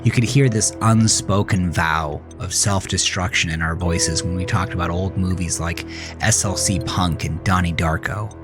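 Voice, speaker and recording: An actor playing a jaded man who looks back on his angry teenage years in a brooding, confessional horror monologue with halting pauses. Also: low-pitched, steady tone. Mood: brooding, bitter. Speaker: narrator of a horror audio drama. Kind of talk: first-person scripted horror narration, dramatic monologue. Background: music.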